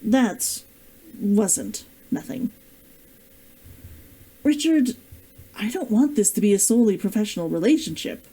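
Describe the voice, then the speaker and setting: A woman's voice: medium pitch, animated, unhurried, long pauses, dark tone, slightly rough, rough recording. A woman voicing a bold, yearning young heroine in a fantasy romance storybook, forthright and questioning.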